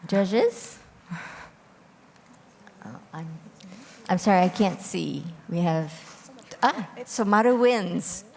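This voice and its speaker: A woman moderating a formal quiz competition, giving clear, orderly instructions. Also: mid-range voice, lively intonation, slow pace, long pauses, a little hiss or hum. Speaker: quiz host reading rules and judging calls. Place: large hall at a live competition. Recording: echoey hall with long reverb.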